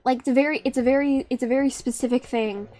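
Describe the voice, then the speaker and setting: A young woman with a high-pitched voice talks casually on a live stream. She rambles, restarts her sentences and laughs often. Faint music plays behind her. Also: fairly even intonation, fast, mellow.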